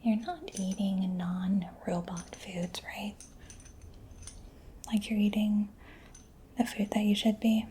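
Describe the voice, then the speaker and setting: A woman whispering in a breathy, gentle, unhurried murmur for an ASMR roleplay, close to the microphone. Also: medium pitch. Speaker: ASMR creator playing a robot mechanic. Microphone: close-up sensitive microphone. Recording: close-up whisper with clear background noise.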